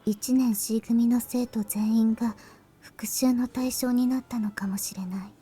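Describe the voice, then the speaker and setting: A woman playing a grave, quiet teenage schoolgirl in a Japanese visual novel, speaking in a soft, breathy, measured way. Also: on the high side, steady tone, close to an everyday voice. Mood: sombre, murmuring. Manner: measured, hushed, serious.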